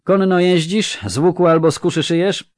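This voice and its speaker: A man voicing a gruff, bored male army recruiting officer who snaps out curt questions. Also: high voice, fairly even intonation, moderate pace, a little mellow, no echo, clean studio sound, pitched above the performer's own voice, lightly acted. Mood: brusque.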